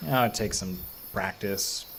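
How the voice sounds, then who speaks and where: A man's voice: fairly low pitch, a little slow, a bit bright, slight background noise. An actor playing a skeptical, weary lawman in an audio horror drama, with a dry, dismissive way of talking. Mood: wry, dismissive.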